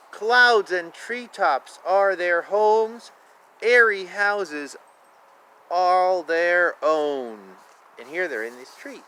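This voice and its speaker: A man reads a children's picture book aloud outside, his voice high and very animated. He takes it slowly in a sing-song way, dropping in casual asides, with clear ambient noise around him. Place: outdoors. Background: outdoor ambience.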